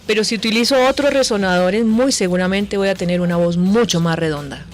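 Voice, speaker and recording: Female voice coach on radio, medium pitch, conversational explainer, music behind. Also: fairly quick. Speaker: guest, voice coach.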